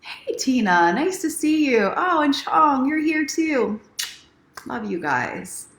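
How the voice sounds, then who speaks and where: A woman's voice: sits high, very animated, slow, fairly bright tone. A woman hosting a casual livestream, encouraging and speaking straight to her viewers like a self-help coach. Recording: roomy, echoing sound.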